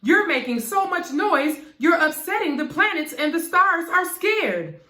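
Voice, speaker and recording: A woman's voice: extremely high pitch, sing-song, a little slow, pushed and bright, reverberant, voice pushed up, full character voice. A woman voicing a motherly Moon in a children's story, firm and chiding but caring. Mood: scolding. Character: scolding, motherly Moon watching over the night sky.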